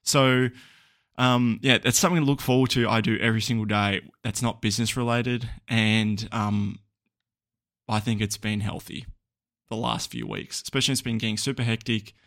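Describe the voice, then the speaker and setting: A man's voice: lowish voice, fairly even intonation, moderate pace, fairly bright tone, no room sound, clean studio sound. Chatty Australian man podcasting solo. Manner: rambling, chatty, frequent ums and laughs. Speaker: podcast host. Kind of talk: casual solo podcast chat.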